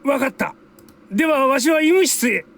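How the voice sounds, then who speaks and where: A gravelly, impatient elderly man from a Japanese video game. He speaks quickly and with big swings of pitch, using old-fashioned, worried, blustery phrasing. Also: expressive, fairly bright tone, heavily acted. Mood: anxious.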